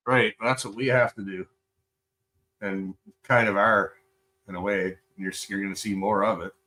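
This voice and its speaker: A man's voice: on the low side, fairly even intonation, normal speed, pushed and bright. Profane, rambling male streamer from Canada. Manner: loose, profane, rambling with fillers. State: high. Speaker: live streamer reacting to videos. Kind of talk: casual rambling stream chat.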